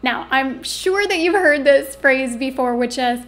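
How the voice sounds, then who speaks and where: A woman in her thirties with a high voice speaks straight to the listener as a coach. She sounds upbeat and encouraging, stressing key words as she explains her advice step by step. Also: unhurried, fairly bright tone. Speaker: marketing coach, presenter. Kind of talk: upbeat instructional video to camera. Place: small indoor room.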